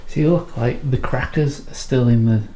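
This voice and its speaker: A man's voice: medium pitch, normal speed, warm tone, a little hiss or hum. A man with a Northern English accent, bantering and joking wryly while exploring an abandoned house with friends. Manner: teasing, wry and joking. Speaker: urban explorer vlogger. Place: inside an abandoned house, indoors. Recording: echoey empty rooms.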